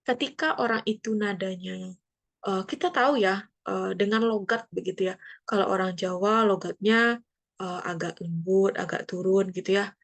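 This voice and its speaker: A woman's voice: mid-range voice, normal speed, creaky. A woman from Indonesia giving a classroom lesson, explaining step by step with frequent fillers and tag questions. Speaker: teacher. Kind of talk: classroom lecture. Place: near the coast.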